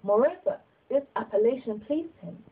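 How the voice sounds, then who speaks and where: A woman reading literary narration aloud as an audiobook reader, with a slightly husky voice. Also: a little high, lively intonation, moderate pace, narrow, tinny audio.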